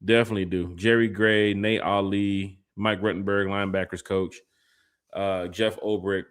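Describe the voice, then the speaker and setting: A man's voice: on the low side, flat delivery, moderate pace, clean tone. A man co-hosting a casual sports podcast, talking in a laid-back, joking drawl. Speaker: podcast co-host.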